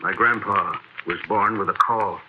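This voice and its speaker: An actor playing an earnest, superstitious, folksy pal who talks insistently in a 1940s radio horror drama, on an old broadcast recording. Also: male voice, fairly low pitch, measured pace, narrow, tinny audio, slight background noise, close to an everyday voice. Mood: urgent, insistent.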